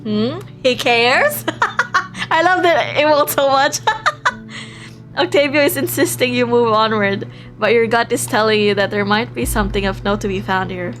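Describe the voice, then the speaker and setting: A woman streams a visual novel with music playing behind her. She reads the text aloud in an expressive way, sometimes stumbling over words. Between passages she reacts casually, in a giggly, chatty manner. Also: a little high.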